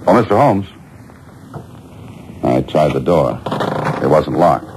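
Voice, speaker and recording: A man's voice: extremely low pitch, animated, slow pace, background noise, full character voice. An actor playing a dry, wisecracking adventurer who narrates his own story in an old radio drama. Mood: narrating. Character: wry hard-boiled male adventurer, narrator. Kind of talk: 1940s radio acting. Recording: old radio broadcast with music underneath.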